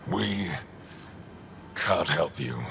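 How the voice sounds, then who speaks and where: An actor playing a gruff, distrustful male alien resistance commander in a video game, curt and stern. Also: sits low, expressive, drawn-out words, pushed and bright, a little hiss or hum, exaggerated voice. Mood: grim, dismissive. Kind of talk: video game dialogue acting.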